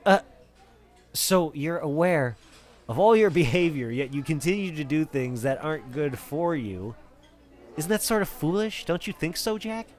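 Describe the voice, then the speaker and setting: A man's voice: a little high, expressive, moderate pace, soft background music, lightly acted. A man playing a fussy, nervous accountant on the run, stammering and politely persistent in an acted film script read. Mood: prodding. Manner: hesitant, stammering, earnestly nagging.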